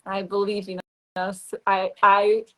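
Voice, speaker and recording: A woman's voice: medium pitch, flat delivery, slow, rough recording. A woman from Poland with a Polish accent hosts a casual online interview, speaking hesitantly and repeating words as she searches for phrasing. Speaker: host.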